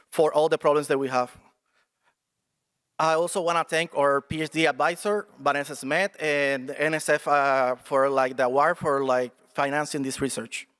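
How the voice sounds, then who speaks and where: A man's voice: on the high side, fairly bright tone, clean tone, big-room sound. A student presenter, a man, explaining technical results with many fillers and restarts. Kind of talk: technical pitch and answering questions.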